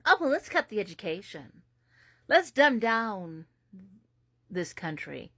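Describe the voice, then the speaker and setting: Slow, sarcastic woman commentator. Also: mid-range voice, big pitch swings, fairly bright tone, slightly rough, no room sound, studio quality. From United States. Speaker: commentary host. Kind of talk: political commentary.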